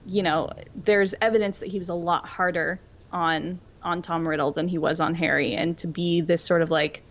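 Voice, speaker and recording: Low-voiced woman on a slightly dull recording. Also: fairly even intonation, normal speed. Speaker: podcast co-host. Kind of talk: casual pop-culture discussion.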